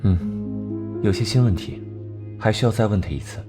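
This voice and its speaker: An actor playing a cold, clever male investigator in an animated crime drama, reasoning in a measured, analytical way. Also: on the low side, over loud music, close to an everyday voice. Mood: probing, composed. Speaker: detective section chief.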